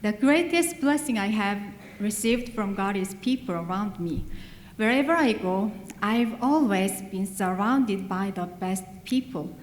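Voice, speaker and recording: A woman's voice: mid-range voice, lively intonation, measured pace. A woman, an adult English learner whose first language is not English, giving an earnest graduation speech with small grammar slips. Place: large hall. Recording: echoey hall with long reverb.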